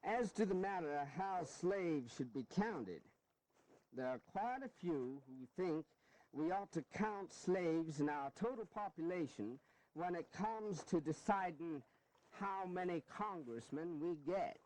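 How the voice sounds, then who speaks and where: A man's voice: on the high side, lively intonation, unhurried, a little mellow, low-quality recording. An actor playing a wily, genteel statesman in a historical audio drama, drawling and folksy. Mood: coaxing. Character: genteel, wily Southern statesman defending slavery, male.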